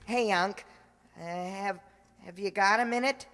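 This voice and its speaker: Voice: mid-range voice, unhurried, fairly bright tone. A performer acts an eager schoolboy nephew from an old-time radio comedy. The delivery is boyish, casual and slangy. The sound carries a long hall reverb. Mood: sheepish, eager.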